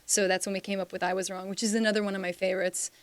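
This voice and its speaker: Smooth-voiced young woman, chatty studio guest. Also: medium pitch, flat delivery, racing, no room sound. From Slovakia. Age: young adult. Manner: chatty, rambling, many fillers and "like"s. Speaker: singer guest. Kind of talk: casual interview chat. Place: radio studio. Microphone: studio microphone.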